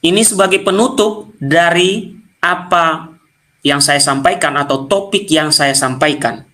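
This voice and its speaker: Indonesian guy w a nias accent, fairly high voice, lecturing viewers hard, pushy. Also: sharp tone. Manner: combative, addresses viewers directly, slows to stress points. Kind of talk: preaching-style video lecture to viewers.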